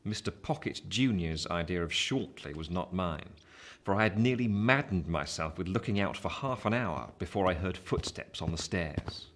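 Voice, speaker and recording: An actor as a wry gentleman recounting his youth in a radio play. His voice is deep and measured, with a literary gloom. Faint ambient noise sits behind him. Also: lively intonation. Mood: wry, gloomy. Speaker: narrator. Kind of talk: scripted first-person narration of a Victorian novel.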